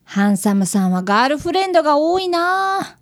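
A woman's voice: sits high, very animated, normal speed. A woman acting as a polite, eager fifth-grade boy apprentice detective in a radio drama, youthful and childlike. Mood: polite, chatty. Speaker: radio drama actor and narrator. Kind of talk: radio drama acting with first-person narration.

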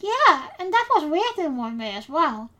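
A woman with a Dutch accent hosting a podcast in English, who speaks in a casual, unhurried way and pauses often with fillers. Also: high-pitched, very animated, bright, forceful tone. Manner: unhurried, hesitant with many fillers. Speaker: podcast host.

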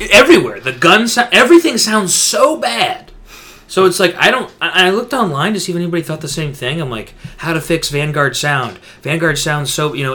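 Guy podcast host, kinda high voice, super up and down, emphatic gamer chat, repeats himself. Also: fairly bright tone. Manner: emphatic, repetitive, slangy. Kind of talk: casual gaming podcast chat.

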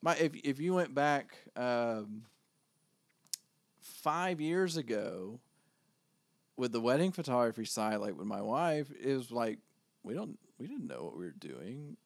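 A man co-hosting a podcast with a low-toned voice, talking in a measured, reflective way. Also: big pitch swings, no room sound. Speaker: podcast co-host, wedding photographer. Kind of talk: casual podcast chat.

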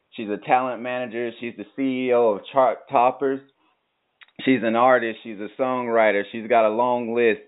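A man hosting a hip hop show, talking in a laid-back, friendly way full of slang. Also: lowish voice. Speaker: podcast host. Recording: tinny phone-like line.

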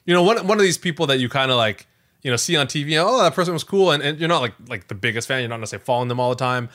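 A man's voice: medium pitch, expressive, very fast, fairly bright tone. A man co-hosting a podcast in casual conversation, rambling as he goes and often doubling back to rephrase or repeat himself. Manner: rambling, frequent self-corrections and repeated phrases. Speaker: podcast co-host.

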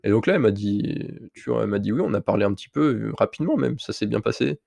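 Low, gravelly man with a cold. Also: expressive, fast talker, darkish tone, dry recording. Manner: candid, rambling storytelling addressed to the listener. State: slightly stuffy from a cold. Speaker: podcast host. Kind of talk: casual solo podcast monologue.

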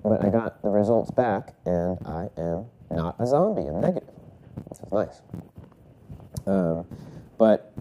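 A man's voice: fairly low pitch, lively intonation, unhurried, pauses often, warm tone, lo-fi sound, a little hiss or hum. Congested man rambling through a podcast. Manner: rambling, self-correcting, many fillers. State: congested, recovering from a cold, coughing. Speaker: podcast host. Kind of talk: casual solo podcast storytelling.

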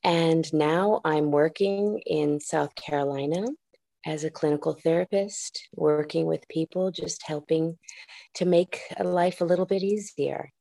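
A woman therapist on a podcast, speaking low and a bit gravelly. Her tone is dark and mellow, and she explains things in a reflective, measured way. The sound is dry and close. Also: no room sound. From United States. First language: Japanese. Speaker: clinical therapist guest. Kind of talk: podcast interview, thoughtful explaining.

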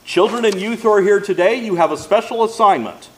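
A man's voice: high voice, brisk. An American man speaking as a pastor, giving announcements to his congregation. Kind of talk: church announcements.

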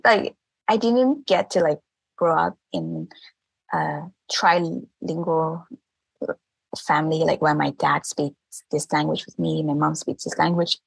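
Husky woman. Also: on the low side, no echo, poor audio. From Thailand. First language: Thai. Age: 30s. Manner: earnest, laughs often, restarts phrases. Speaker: guest, language teacher. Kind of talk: casual interview talk.

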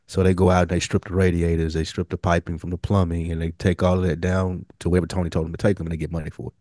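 Low-voiced man in casual podcast chat. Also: little pitch movement, rapid-fire, warm tone, no echo, studio quality. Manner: slangy, profane, explains by acting out lines. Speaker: podcast co-host.

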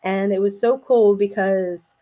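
A middle-aged woman with a slightly raspy voice hosts a personal podcast, speaking casually in a hesitant, reflective, halting way. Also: mid-range voice, fairly even intonation, dark tone. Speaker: podcast host.

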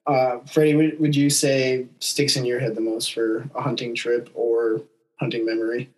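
A man's voice: mid-range voice, little pitch movement, moderate pace, darkish tone, poor audio. A young adult man hosting a casual podcast chat, telling outdoor anecdotes in an informal, enthusiastic way.

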